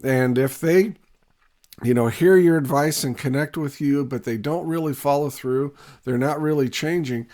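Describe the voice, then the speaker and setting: Raspy man recovering from a cold. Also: a little high, normal speed, a little mellow. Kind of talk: scripted teaching talk to camera.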